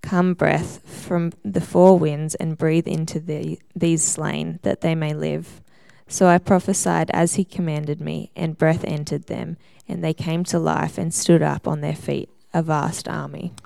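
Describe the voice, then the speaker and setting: A woman reading scripture aloud, her low, mellow voice heavily gravelly with fry. She keeps a steady, measured pace through the passage, and the sound is close and dry. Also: no room sound. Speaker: scripture reader.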